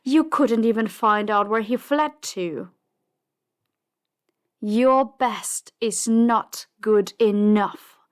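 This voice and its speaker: A woman playing a cold, ruthless crime boss in an audio drama, commanding and dismissive in a measured way. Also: mid-range voice, lightly acted. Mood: angry, threatening. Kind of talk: audio drama roleplay acting.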